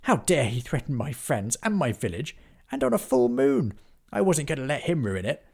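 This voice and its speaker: A man's voice: on the high side, huge pitch swings, moderate pace, gravelly, darkish tone, voice pushed up, exaggerated voice. A man voicing a fierce, loyal male wolf-like beast who growls protectively over his friends.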